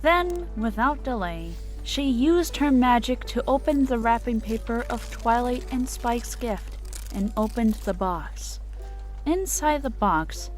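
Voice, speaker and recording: A woman's voice: on the high side, a little mellow, poor audio. A woman reading as the narrator of a dramatic storybook tale.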